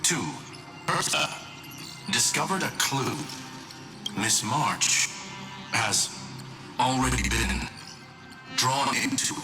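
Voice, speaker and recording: An actor voicing a courteous, composed robotic gentleman scholar in a game, with formal, measured, precise diction. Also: medium pitch, animated, over loud music. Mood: halting, urgent. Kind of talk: scripted game dialogue.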